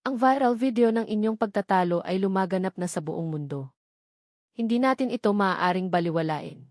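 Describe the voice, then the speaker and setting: A woman's voice: medium pitch, lively intonation, a little mellow, dry recording, natural voice. Woman narrator plays businesslike male company chairman, Filipino accent, firm and persuasive, dry audio drama sound. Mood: grave, firm. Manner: formal, deliberate, persuasive.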